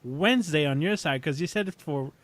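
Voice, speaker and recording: Dry-sounding, higher-pitched man chatting casually. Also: a little fast, a bit bright, dry recording. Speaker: podcast co-host. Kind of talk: casual chat.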